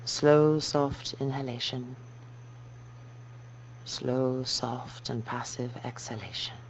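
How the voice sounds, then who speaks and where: A woman yoga teacher guiding a meditation in a slow, soft, calming and measured way. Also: a bass voice. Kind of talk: guided meditation instruction. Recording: dull muffled recording with noise and hum.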